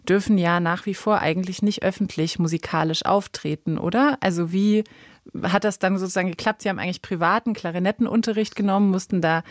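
A woman's voice: on the low side, steady tone, fast, no room sound, clean studio sound. A woman hosting an interview, putting questions to her guest in a conversational broadcast style. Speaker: interviewer, host.

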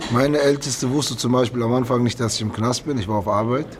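A man's voice: mid-range voice, steady tone, a little fast, crowd noise. A man in his thirties, an inmate talking informally in an interview. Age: 30s. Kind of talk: plain informal interview talk.

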